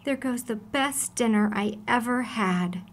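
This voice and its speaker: A woman's voice: a little high, measured pace, a little hiss or hum, natural voice. A woman voicing a kindly, generous older woman who cooks, in a children's storybook. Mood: sorrowful.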